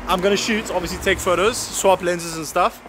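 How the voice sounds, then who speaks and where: A man's voice: sits high, fairly quick. A man in his thirties with a South African accent, chatting casually as a photographer vlogging while he walks. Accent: South African English. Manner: relaxed, chatty, walking while filming. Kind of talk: casual vlog chat. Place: busy city street outdoors. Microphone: handheld camera at arm's length. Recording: loud street traffic noise.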